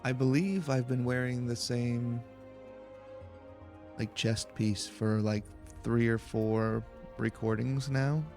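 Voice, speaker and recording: A man hosting a relaxed video game let's play, talking in a low-key, halting, casual way over music. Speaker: host of a gaming let's play. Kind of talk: relaxed, slow-paced let's play commentary. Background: soft music.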